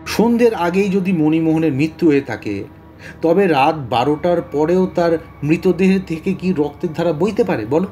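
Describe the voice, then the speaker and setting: An actor playing an earnest, baffled police inspector in an audio drama, speaking with puzzled emphasis. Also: male voice, fairly high pitch, moderate pace, warm tone, soft background music, lightly acted.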